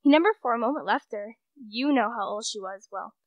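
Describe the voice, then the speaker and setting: A woman's voice: a little high, fairly quick, dry recording, muffled recording, lightly acted. A young woman playing a gossipy, pitying society woman who tells stories chattily in a radio play. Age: young adult. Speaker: student actor.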